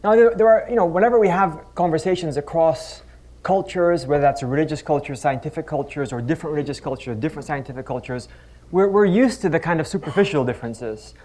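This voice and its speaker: A man's voice: a little high, fast, warm tone. A middle-aged man from the Netherlands, a physics professor giving a conference talk with wry, self-deprecating asides and frequent restarts. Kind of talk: conference talk with humorous anecdotes. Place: conference stage before a live audience.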